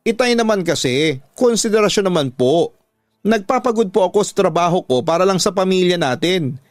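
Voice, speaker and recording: A man's voice: high-pitched, animated, fairly quick, no echo, studio quality, voice pushed up. A man playing a hardworking, aggrieved daughter, indignant and pleading, in a radio drama. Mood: pleading, exasperated. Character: hardworking, aggrieved grown daughter standing up to father. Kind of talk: Filipino radio drama acting.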